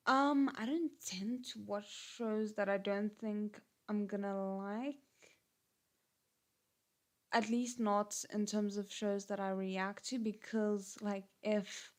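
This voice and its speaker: Chatty South African woman, flat delivery. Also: mid-range voice. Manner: rambling, chatty, with frequent ums and restarts. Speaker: channel host. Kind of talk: casual livestream chat.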